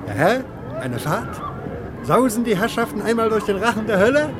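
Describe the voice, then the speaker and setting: A man's voice: high voice, sing-song, normal speed, noisy background. A man playing a showy ghost train barker in a 1960s German radio play, declaiming theatrical sales patter. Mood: grandly enticing. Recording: 1960s radio play, fairground music and crowd.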